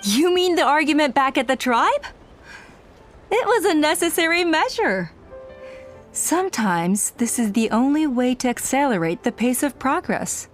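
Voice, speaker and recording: Brief: middle-aged scheming elder in a game, slightly high voice, calm persuasive command. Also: female voice, unhurried, over music. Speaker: tribe elder.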